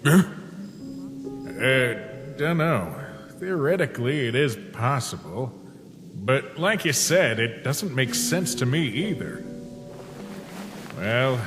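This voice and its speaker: A man plays an awkward, geeky young skeleton for a comic dub. He talks slowly and casually, with hesitations and very lively swings in pitch. The voice sits in a long hall reverb over clear background music. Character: awkward, excitable young male skeleton monster, geeky son. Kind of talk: dramatic comic dub voice acting.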